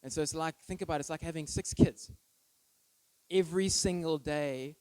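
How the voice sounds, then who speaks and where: Higher-voiced man preaching conversationally. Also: normal speed, a little mellow, dry recording, low-quality recording. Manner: informal, checks in with listeners using tag questions. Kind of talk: conversational sermon with personal anecdotes.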